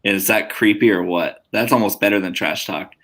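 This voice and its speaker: Man, low and bright voice, casual sports banter, swears a lot, interrupts. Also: a little fast. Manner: animated, swears freely, talks over others. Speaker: podcast co-host.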